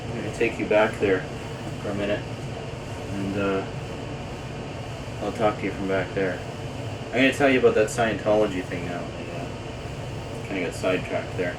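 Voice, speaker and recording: A man coming down with a cold, chatting casually and rambling to camera with lots of uh's, recorded on a camcorder mic in a room at home. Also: lowish voice. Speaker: video letter host. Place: indoor home program room. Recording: noisy early-90s camcorder tape.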